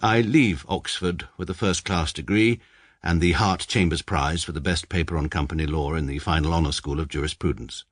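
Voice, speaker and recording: An actor playing a pedantic, self-mocking young barrister who narrates a comic radio drama in a deadpan, precise manner. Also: male voice, very deep voice, normal speed, faint music behind. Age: young adult. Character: dry, pedantic male barrister narrating his life.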